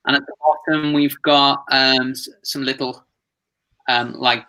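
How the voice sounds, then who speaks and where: A man's voice: medium pitch, little pitch movement, unhurried, bright, forceful tone, a little hiss or hum, poor audio. A man taking part in a workshop, describing things off the cuff in hesitant, pieced-together phrases. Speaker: workshop participant. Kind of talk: unscripted description.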